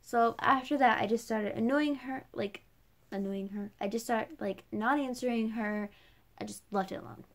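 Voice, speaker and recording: A woman's voice: fairly high pitch, normal speed. A young woman vlogging in a casual, chatty way, rambling through a personal rant with lots of "like" as a filler. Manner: chatty, rambling, heavy use of "like".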